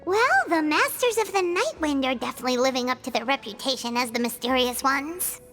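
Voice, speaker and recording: A tiny fairy girl from an anime game, extremely high and squeaky. She is excitable and blunt, swooping up and down in pitch. Music plays clearly behind her. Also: brisk. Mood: amazed. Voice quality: squeaky, childlike. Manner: exclamatory, refers to herself in third person.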